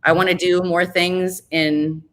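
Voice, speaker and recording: A woman in her forties talks candidly in an interview, rambling and self-deprecating. Her voice sits a little low but has a bright, forceful edge. She speaks in an open, unpolished way. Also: flat delivery, measured pace. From Chicago area, US. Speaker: radio host, interview guest. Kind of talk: casual interview chat.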